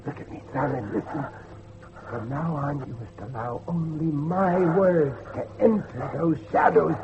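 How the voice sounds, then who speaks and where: A man's voice: fairly high pitch, measured pace. An actor playing a desperate, pious middle-aged father pleading urgently with his son in a horror audio drama. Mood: grave, pleading. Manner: preacherly, urgent, repeating himself. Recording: dull lo-fi audio, loud background noise.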